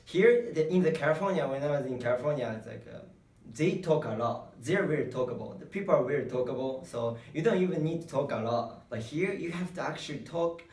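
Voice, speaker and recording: A man's voice: fairly high pitch, animated, darkish tone. Young Japanese-accented man, roomy distant recording. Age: young adult. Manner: halting non-native English with repeated words. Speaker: international student being interviewed. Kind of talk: casual interview answers. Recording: roomy echo, distant microphone.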